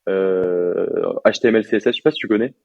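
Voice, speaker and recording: A young man in his twenties from France, a software developer chatting casually in an interview with lots of fillers and slang. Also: fairly low pitch, fast, highs cut off. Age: 20s.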